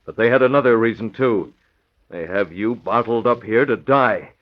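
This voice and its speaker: A man's voice: on the low side, moderate pace, lightly acted. A man playing a commanding, shrewd masked crime-fighter in clipped, decisive old-time radio acting. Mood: menacing, commanding. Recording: dull, lo-fi old radio recording.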